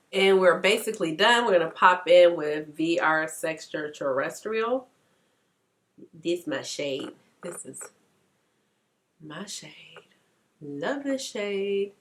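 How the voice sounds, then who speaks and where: A congested woman with a stuffy nose, chatting casually through a makeup tutorial and laughing often. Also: lowish voice. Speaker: beauty vlogger.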